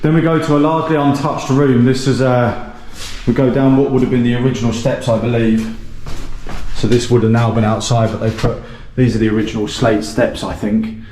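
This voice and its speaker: A man's voice: mid-range voice, steady tone, a little fast, a little mellow, slight background noise. A man hosting a renovation channel, giving a casual walkthrough tour of a gutted small cottage with plenty of ums and self-corrections. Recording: echoey bare rooms.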